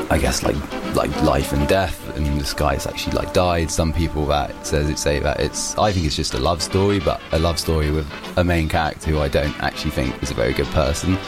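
Very deep-voiced young man, musician. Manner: rambling with many restarts and fillers. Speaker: musician guest. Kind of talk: casual interview answers.

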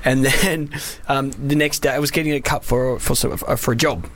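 A man's voice: medium pitch, fairly even intonation, fairly quick, a bit bright. A man from Wales with a Welsh accent, hosting a casual chat and telling rambling anecdotes with many ums. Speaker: host.

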